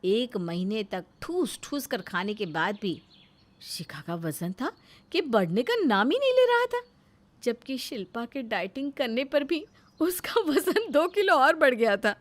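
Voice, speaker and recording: A woman tells a scripted household tale as its narrator. Her voice sits fairly high and swings expressively from phrase to phrase, in a dramatic storytelling style. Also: big pitch swings, a little slow.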